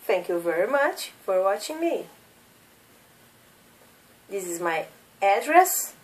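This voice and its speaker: Slow Brazilian woman teaching English. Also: medium pitch, very animated, distant mic, low-quality recording. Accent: Brazilian Portuguese. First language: Portuguese. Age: 30s. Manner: repeats questions, prompts learners to repeat. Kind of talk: slow classroom drilling of questions.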